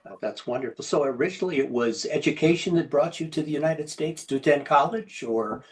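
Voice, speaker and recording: A man's voice: fairly high pitch, a little fast. A man hosting an interview, reading a friendly introduction and asking his guest questions. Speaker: interview host.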